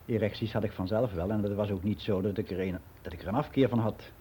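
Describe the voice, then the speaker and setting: Male actor as older man giving frank, matter-of-fact radio play monologue; soft dark tone, slightly noisy recording. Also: lowish voice, fast, natural voice. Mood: matter-of-fact, reminiscing. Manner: matter-of-fact, rambling, candid.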